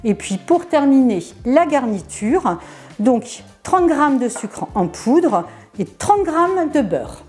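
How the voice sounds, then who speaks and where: A woman's voice: sits high, big pitch swings, slow, a little mellow. A middle-aged woman from the Ardennes showing a family recipe in her home kitchen, chatty and hesitant with lots of fillers. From Ardennes, France. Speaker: home cook host. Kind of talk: casual cooking demonstration.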